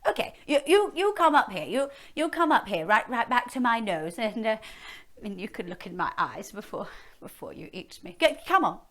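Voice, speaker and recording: A woman's voice: on the high side, big pitch swings, normal speed, a bit bright. A woman voicing a huge male dragon in a told story. He is greedy, polite and chatty, and he stammers and repeats himself. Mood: flattered.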